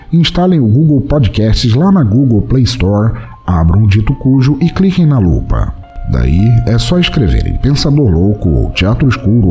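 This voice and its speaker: A man's voice: fairly low pitch, dark tone. A Brazilian man hosting a podcast, reading scripted announcements in a playful, mock-formal way over faint music. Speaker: podcast host.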